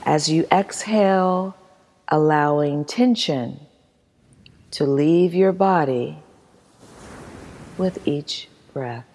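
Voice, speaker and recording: A woman leading a guided meditation as instructor, reading in a soothing, measured manner with long pauses. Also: low voice, darkish tone. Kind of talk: guided meditation, scripted narration. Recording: long hall-like reverb.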